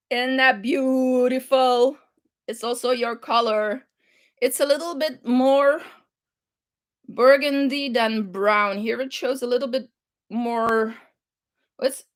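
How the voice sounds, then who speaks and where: Slow-talking higher-pitched woman, playful chat. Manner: unhurried, halting, searching for English words; playful, giggly. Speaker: craft live-stream host. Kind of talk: casual live-stream chat.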